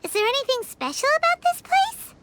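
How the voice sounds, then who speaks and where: A tiny fairy-like companion from a game, curious and quick to exclaim. The voice is squeaky-high and swings all over the place, stretching its words slowly. Game music is clearly audible behind it. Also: female voice, huge pitch swings, exaggerated voice. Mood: excited.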